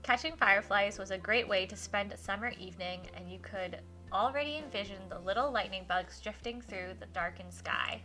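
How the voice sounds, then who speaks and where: A woman livestreaming a game, switching between informal chat with viewers and expressive read-aloud in a giggly, animated manner. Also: mid-range voice, brisk, faint music behind, rough recording. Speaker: livestreamer hosting a visual novel playthrough.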